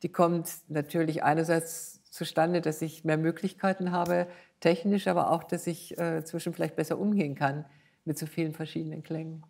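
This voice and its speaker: A woman's voice: low-pitched, steady tone, normal speed, vocal fry, darkish tone. An older woman, a composer being interviewed, explaining her work in a thoughtful, conversational way. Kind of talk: reflective conversational interview in front of an audience.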